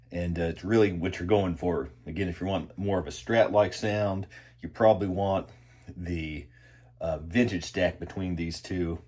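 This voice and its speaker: A man talking casually and in a measured, conversational way as he hosts a guitar gear review. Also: sits low, a little mellow, husky. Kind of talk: casual product review talk.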